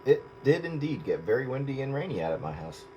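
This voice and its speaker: A man with a mid-pitched voice talks casually over the radio. The transmission is swamped in heavy noise, and he comes across as a hobbyist chatting on air. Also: very animated, fast talker, dull audio.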